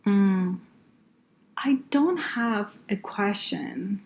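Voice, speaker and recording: A middle-aged woman from northern Iran, a native Farsi speaker, answering interview questions in a halting way with many fillers and restarts. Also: mid-range voice, lively intonation, a little mellow. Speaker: guest, healthcare consultant.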